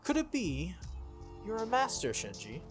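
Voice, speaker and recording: A man's voice: high voice, wildly swooping pitch, unhurried, soft background music, pitched above the performer's own voice, heavily acted. A man voicing an earnest, wary teenage schoolboy hero in a visual novel, guarded and hesitant. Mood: taken aback.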